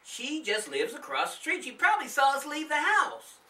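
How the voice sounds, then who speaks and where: Guy doing a cocky know-it-all big brother kid in a storybook, voice pushed up and bright. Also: very high-pitched, very animated, moderate pace, distant mic, voice pushed up, full character voice. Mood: impatient, reassuring.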